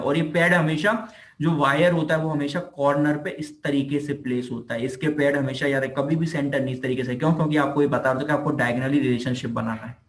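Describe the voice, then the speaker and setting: A man with an Indian accent giving an informal explanatory lecture as a physiotherapy teacher, repeating himself and checking that students follow. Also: a little high. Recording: roomy echo on the voice.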